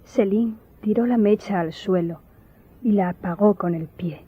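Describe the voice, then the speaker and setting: An actress playing a grieving daughter who solemnly tells her tragic story in a radio drama, in a measured way. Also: medium pitch, husky, no echo, highs cut off. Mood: solemn, tense.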